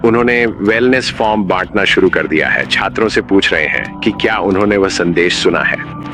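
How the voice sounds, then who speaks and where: A man narrating a scripted horror story in a measured, ominous manner. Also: deep voice, faint music behind.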